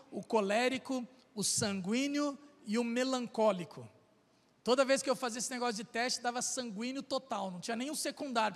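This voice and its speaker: A Brazilian man of middle age preaches with a strikingly high-pitched voice. He talks in a relaxed, conversational way and then drives his points home through emphatic repetition. His voice rings through the long reverb of a church hall. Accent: Brazilian Portuguese. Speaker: pastor. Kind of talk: sermon. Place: church sanctuary.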